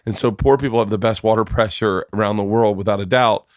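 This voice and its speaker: A man's voice: on the low side, steady tone, quick pace, dry recording, studio quality. A middle-aged American man bantering casually off the cuff, joking and rambling. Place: indoor lounge studio, standing. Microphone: leaning over podcast boom microphone.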